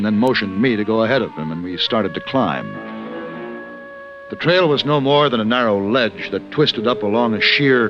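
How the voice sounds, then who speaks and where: An actor playing a cool, guarded gunfighter in an old radio western, speaking in a measured, careful way. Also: male voice, lowish voice, lightly acted. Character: cool, guarded gunfighter bluffing among outlaws. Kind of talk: old-time radio western acting and narration. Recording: dull 1950s radio recording.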